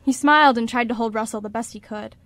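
A woman's voice: fairly high pitch, fast, fairly bright tone, no room sound. A woman narrating a scripted story for a radio drama.

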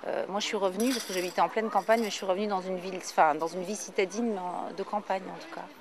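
A middle-aged woman answering a street interview at a market, slow and searching for words. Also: mid-range voice, fairly even intonation. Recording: busy outdoor market crowd. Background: market crowd chatter.

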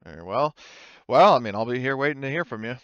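A man's voice: medium pitch, expressive, quick pace, fairly bright tone, clean tone, dry recording. A man voicing a frank, concerned female colony administrator in a tabletop space game, in slightly dull close audio. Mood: businesslike.

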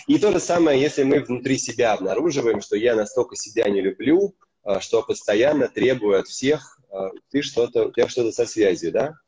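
A man's voice: mid-range voice, animated, brisk, warm tone, breathy edge. A man in his thirties, a gynecologist guest on a video call, speaking earnestly with some hesitations. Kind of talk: casual video call chat. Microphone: video call webcam. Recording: dull lo-fi webcam audio.

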